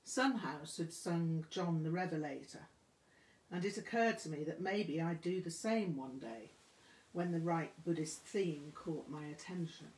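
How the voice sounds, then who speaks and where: Slow, measured woman narrator in echoey room. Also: sits low, a little mellow. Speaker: audiobook reader. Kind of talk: scripted memoir narration, storytelling. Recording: roomy, echoing room sound.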